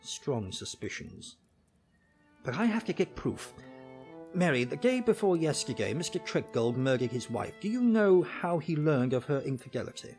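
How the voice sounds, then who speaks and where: An actor playing a shrewd, self-assured English detective in a 1940s radio drama, clipped and authoritative. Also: male voice, on the high side, big pitch swings, normal speed, darkish tone, soft background music. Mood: commanding.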